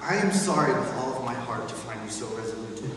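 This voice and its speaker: A man playing a cheerful, warm-hearted nephew in a dramatic reading, earnest and declamatory. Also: medium pitch, lively intonation, quick pace, natural voice. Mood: pleading, hearty. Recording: distant, echoey hall, some hiss.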